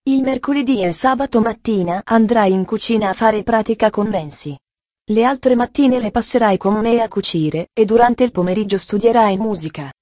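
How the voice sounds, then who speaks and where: A strict, severe middle-aged aunt hands out orders in a dry, commanding voice, as a woman reader acts her out. Her tone is mellow rather than bright, and it is recorded dry and close. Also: on the high side, moderate pace, no room sound, dull audio, natural voice. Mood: stern.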